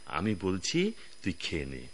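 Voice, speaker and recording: Slow-spoken, doting old father. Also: low-pitched, huge pitch swings, a little hiss or hum, heavily acted. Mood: coaxing, reluctant. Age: older. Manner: coaxing, hesitant, affectionate. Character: gentle, ailing, doting older father. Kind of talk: radio drama acting.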